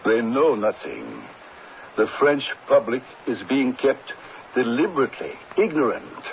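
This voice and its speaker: An actor playing an indignant, crusading French writer in a radio drama, speaking in an emphatic, theatrical, oratorical manner. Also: male voice, medium pitch, wildly swooping pitch, a little slow, vocal fry, slight background noise, exaggerated voice. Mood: grim resolve. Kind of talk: radio drama acting, part dialogue and part narration. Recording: dull lo-fi old radio, music behind.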